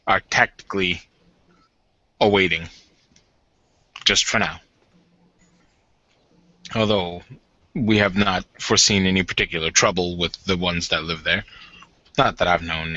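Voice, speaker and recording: Guy doing a low voiced werewolf warden, formal n halting, kinda forceful, dull audio. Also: no room sound. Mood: guarded, deliberate. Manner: halting, measured, formal phrasing. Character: gruff werewolf warden, formal, deliberate, battle-minded leader.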